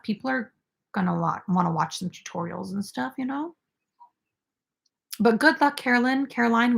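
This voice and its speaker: A woman's voice: medium pitch, gravelly. A young woman hosting a casual crochet live stream, chatting with viewers and filled with "like" and "um". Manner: chatty, filler-heavy, reads viewer comments aloud.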